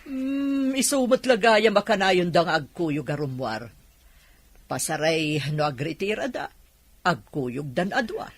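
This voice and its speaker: A shrewd, middle-aged gossip from a radio drama, acted by a woman. The voice is husky and swings in pitch, recorded close and dry. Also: lively intonation, a little slow, no echo. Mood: knowing, gossipy.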